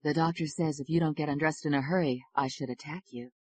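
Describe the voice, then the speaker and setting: A woman's voice: low-pitched, fairly even intonation, fast talker, darkish tone, no echo. A woman voicing a playful, supportive young wife who teases affectionately. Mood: teasing.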